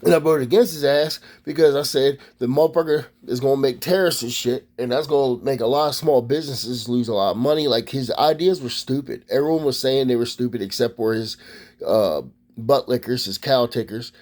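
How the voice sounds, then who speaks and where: A man's voice: medium pitch, a little fast, a little mellow. A man from Maryland, USA, delivering a profane, rambling casual monologue as a vlogger.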